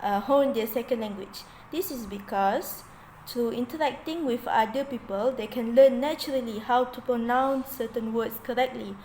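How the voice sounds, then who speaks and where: Higher-voiced young woman reading a report. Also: a little hiss or hum, rough recording. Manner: steady, read-aloud delivery. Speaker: student presenter.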